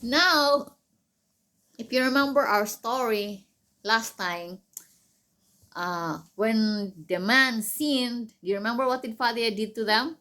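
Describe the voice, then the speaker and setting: Slow-speaking woman teaching a child. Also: fairly high pitch, pushed and bright. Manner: patient, questioning, simple repetitive phrasing. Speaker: mother teaching her child. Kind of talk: Bible story lesson with quiz questions for a child.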